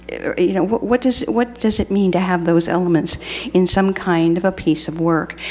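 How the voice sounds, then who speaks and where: Older woman introducing a speaker, rambling. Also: lowish voice. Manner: warm, rambling, with many fillers. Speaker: program host introducing a speaker. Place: lecture room, at a podium. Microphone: podium microphone on a stand.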